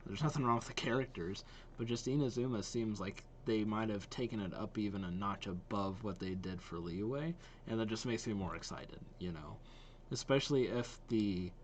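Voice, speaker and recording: A man's voice: on the low side, normal speed, slight background noise. A man giving casual reaction commentary as a host, thinking aloud as he goes.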